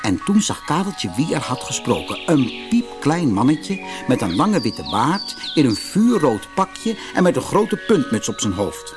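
A man narrating a fairy tale for children as an expressive storyteller. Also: mid-range voice, a little slow, hardly any pauses, music in the background.

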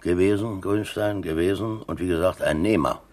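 Man acting stubborn german working guy in a radio play, super deep voice, dry and teasing. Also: moderate pace, a little mellow, lightly acted. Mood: matter-of-fact boasting. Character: stubborn, wry German working-class prisoner, former amateur boxer.

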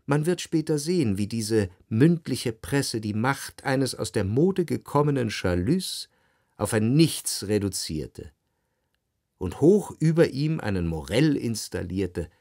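An actor playing a reflective, well-off man of letters, narrating a literary radio play in a measured, analytical way. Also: mid-range voice, sing-song, darkish tone, no echo, clean studio sound, heavily acted. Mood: wry, detached. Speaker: first-person narrator.

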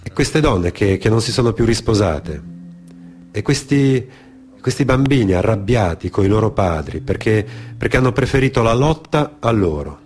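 A man performing a theatrical narrative monologue for radio, in a dramatic, deliberate manner with repetitions and weighted pauses. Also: fairly low pitch, lively intonation, a little mellow. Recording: music behind the voice. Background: music.

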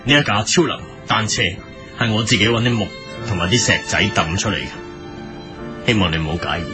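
An actor playing a well-meaning, sheepish captain of a shoestring superhero team in a comic audio drama. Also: male voice, on the low side, fairly quick, over music, lightly acted. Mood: sheepish, earnest.

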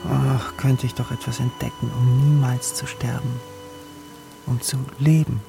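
A man's voice: mid-range voice, flat delivery, measured pace, creaky, music in the background. An actor playing a poor young man who narrates his own story in a radio drama, introspective and yearning. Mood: brooding. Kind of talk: literary first-person narration in radio drama.

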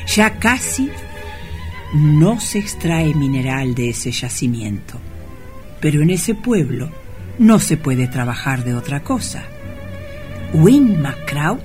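A man acting as a solemn, emphatic narrator of a melodramatic radio play. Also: on the high side.

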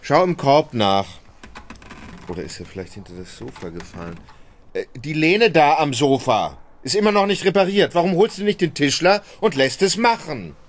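Fretful idle gentleman, acted by a man, lively intonation. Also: fairly high pitch, normal speed, close to an everyday voice. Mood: peevish. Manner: peevish, sighing, lurching from languid murmur to commands. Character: idle, fretful gentleman master, lazily bossy, easily exasperated. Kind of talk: radio play acting.

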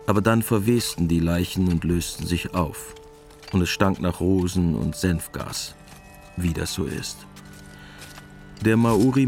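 A middle-aged actor playing a weary, wry war veteran, narrating a literary radio drama in a laconic, resigned way. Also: male voice, low voice, a little mellow.

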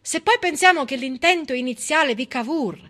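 A woman's voice: sits high, animated, normal speed, fairly bright tone. A woman stage performer delivering a civic monologue with emphatic, dramatic storytelling. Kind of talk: theatrical monologue, civic oration.

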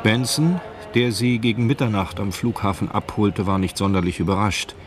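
A man's voice: lowish voice, normal speed. A man narrating a radio drama in a scripted, literary storytelling style. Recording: music behind the voice. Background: music.

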